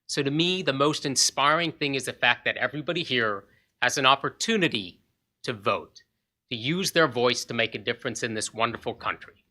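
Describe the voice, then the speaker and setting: A man's voice: medium pitch, animated, fairly quick, bright, forceful tone. A man from Zambia and Zimbabwe giving a short, earnest inspirational talk at a club meeting podium. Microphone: podium microphone.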